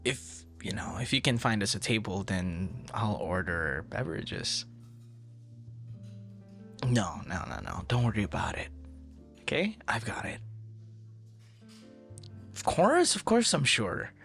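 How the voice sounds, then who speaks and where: A man's voice: on the low side, sing-song, slow, long pauses, fairly bright tone, faint music behind, exaggerated voice. A man playing a shy, dorky young man in an ASMR boyfriend roleplay, stammering and bashful, smitten with a stranger. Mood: nervous, hopeful. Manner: stammering, bashful, awkward, breathy laughs and sighs.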